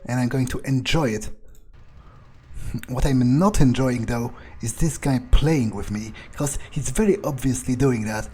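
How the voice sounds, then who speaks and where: A man playing a haughty, irritable fledgling vampire in an in-character video game playthrough, grumbling in a halting, self-important way. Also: mid-range voice, mellow, breathy edge. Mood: menacing, indignant. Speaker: gamer roleplaying his character. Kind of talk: in-character let's play monologue.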